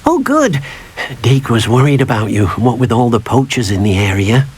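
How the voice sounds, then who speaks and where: A man's voice: very animated, moderate pace, darkish tone. An actor voicing a small, timid male house-elf servant in a video game, who talks about himself by name in an eager, fussy way. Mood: worried. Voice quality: husky, high squeaky. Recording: game audio with faint music.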